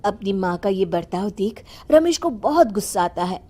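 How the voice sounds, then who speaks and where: A woman reading scripted narration for an animated family drama. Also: mid-range voice, normal speed.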